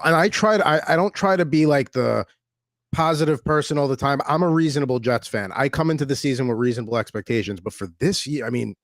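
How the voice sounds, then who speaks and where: A man's voice: medium pitch, no room sound. Man chatting sports, close dry sound. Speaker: sports talk show co-host and fan. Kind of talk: casual sports chat.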